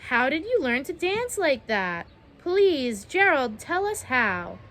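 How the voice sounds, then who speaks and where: A woman voicing a crowd of jungle animals in a storybook, sneering and shouting. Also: high voice, slow, exaggerated voice. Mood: amazed. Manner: sneering, then excited shouting. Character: crowd of jungle animals, mocking then amazed.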